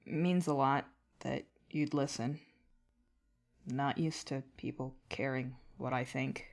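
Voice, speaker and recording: Woman voicing a slow, halting, weary male troll. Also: a bass voice, fairly even intonation, gravelly, a little mellow, pitched below the performer's own voice, exaggerated voice. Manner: halting, terse fragments. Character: weary male alien troll, freed ship slave, bitter.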